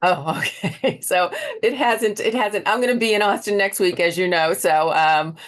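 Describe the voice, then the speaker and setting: A woman's voice: medium pitch, moderate pace. An American woman hosting a conversational interview in a chatty, rambling way. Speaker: interview host.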